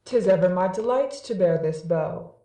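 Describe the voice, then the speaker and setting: A man's voice: high-pitched, lively intonation, dark tone. A man playing a proud god of light who pleads for mercy in archaic, declamatory verse drama. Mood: earnest. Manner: lofty, measured, archaic. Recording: echoey room, distant from mic.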